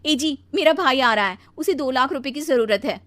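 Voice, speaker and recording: A woman voicing a demanding, sharp-tongued young Indian wife in a cartoon story, scolding and exclaiming. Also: sits high, expressive, moderate pace, pitched above the performer's own voice. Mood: insistent. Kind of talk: animated cartoon story dialogue.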